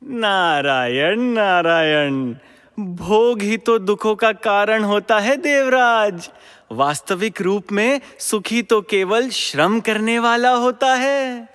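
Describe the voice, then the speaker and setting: A wandering sage of the gods in a Hindi mythological audio drama. His voice is high, clear and smooth, drawn out into a theatrical sing-song that needles as it chants, all in a long hall reverb. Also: slow pace, a bit bright. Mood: serene, teasing. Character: celestial wandering sage, teasing messenger of the gods.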